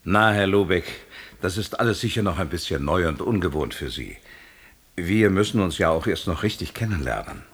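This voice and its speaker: An actor playing a jovial, patronising company director, businesslike and condescending, in a German audio drama. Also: male voice, deep voice, expressive, normal speed, a bit bright, heavily acted. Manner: condescending, businesslike, smooth-talking.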